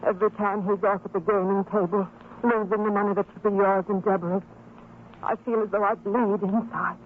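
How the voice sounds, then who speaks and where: A woman playing a worried, resigned mother with a measured, earnest manner, in an old radio drama. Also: medium pitch, fairly even intonation, narrow, tinny audio, close to an everyday voice. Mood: bitter, sorrowful. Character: weary, worried fisherman's wife and mother, bitter, resigned. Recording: thin, band-limited old radio recording, faint noise.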